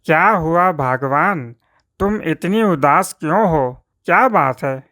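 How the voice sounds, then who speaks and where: A man's voice: on the high side, animated, slow, bright, forceful tone, full character voice. A man playing a wily but polite poor village barber in a cartoon story, earnest and deferential. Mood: concerned.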